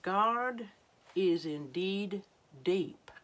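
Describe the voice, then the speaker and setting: Old Turtle, slow solemn wise elder voiced by a woman. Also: medium pitch, animated. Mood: solemn, reverent. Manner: solemn, deliberate, emphatic. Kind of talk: storybook read-aloud for children.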